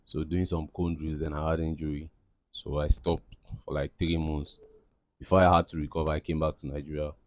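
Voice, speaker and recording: Young male interviewee, very deep voice, loose rambling answers, dry close recording. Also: level tone, brisk, a little mellow, dry recording. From Cameroon. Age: young adult. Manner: rambling, many fillers and restarts. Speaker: interviewee, aspiring footballer.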